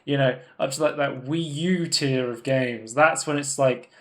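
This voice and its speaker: A man chats through gaming news on a live podcast from a home room. He rambles, restarts his sentences and fills pauses with ums. His pitch sits squarely in the middle range. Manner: rambling, many fillers and restarts. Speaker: podcast co-host. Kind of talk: casual gaming news chat.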